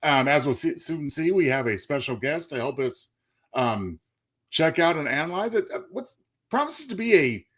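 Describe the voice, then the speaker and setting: A man co-hosting a live show, chatting casually in a halting, folksy manner. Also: a little high, big pitch swings, fairly bright tone. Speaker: co-host.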